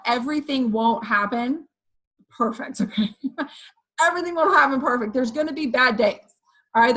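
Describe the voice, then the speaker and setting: A woman with a hoarse voice giving an energetic motivational pep talk to her sales team, often checking in with "okay" and "you guys". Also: on the high side, rough recording. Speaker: direct sales team leader.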